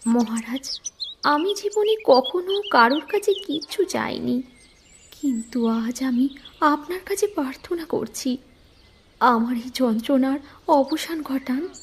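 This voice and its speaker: A woman voicing a lonely, grieving widow who pleads softly and tearfully. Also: high-pitched, expressive, slow, slight background noise, full character voice. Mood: pleading.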